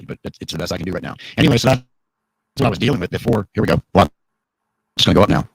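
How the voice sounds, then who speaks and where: Hoarse, chatty male host. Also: sits low, lively intonation, rapid-fire, no echo. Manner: chatty, joking, rambling with restarts. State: hoarse, voice worn out. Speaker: radio program host. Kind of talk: casual live talk show chat.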